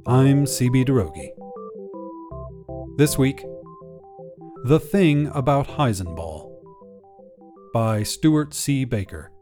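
Male host-narrator, mellow and even-toned, measured storytelling delivery. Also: faint music behind. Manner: measured, low-key first-person storytelling. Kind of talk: scripted fiction narration.

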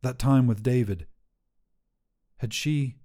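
Mellow male story narrator. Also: mid-range voice, slow pace. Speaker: podcast narrator and producer.

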